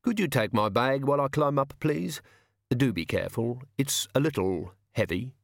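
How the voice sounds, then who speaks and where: A man's voice: mid-range voice, animated, normal speed, vocal fry, no echo, studio quality. A man voicing a neat, fussy, careful middle-aged thief with a precise, prim voice.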